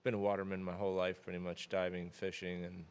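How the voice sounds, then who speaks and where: Low, flat-voiced man, easygoing. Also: fast, smooth. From Florida Keys, USA. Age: 30s. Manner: relaxed, rambling with many fillers. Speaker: interview guest. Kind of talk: casual conversation.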